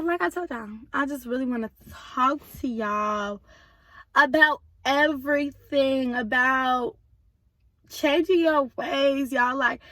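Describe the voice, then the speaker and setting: Hoarse, high, bright-voiced woman vlogger. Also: no echo. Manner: chatty, addresses viewers directly. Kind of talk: casual chat to viewers.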